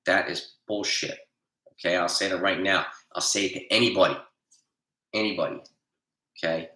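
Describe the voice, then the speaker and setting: A man hosting a casual livestream, reading viewer comments and answering them bluntly, nasal from allergies and a cold and often clearing his throat. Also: sits low, fairly even intonation, moderate pace, bright, forceful tone, roomy sound. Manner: blunt, argumentative, defends his opinions. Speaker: livestream host.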